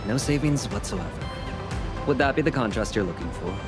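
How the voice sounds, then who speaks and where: A wealthy director who answers everything in a breezy, offhand way with a dry edge. His voice is lively, with music under it. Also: mid-range voice, a little fast. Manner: breezy, nonchalant, wry. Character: rich, flashy male director, cool and dry. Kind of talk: video game cutscene voice acting.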